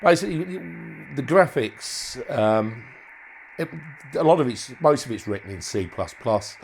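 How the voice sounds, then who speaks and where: A man's voice: mid-range voice, expressive, measured pace, a little hiss or hum, low-quality recording. A man giving an informal hands-on demonstration as a hobbyist, thinking aloud in a hesitant way and often trailing off. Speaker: hobbyist presenter demonstrating modified radio gear.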